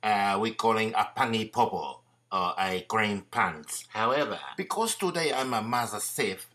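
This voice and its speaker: A man's voice: lowish voice, lively intonation, moderate pace, bright, forceful tone. A man playing a bumbling island uncle who pretends to be a TV chef, speaking broken, hesitant English in a comedy sketch. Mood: showy.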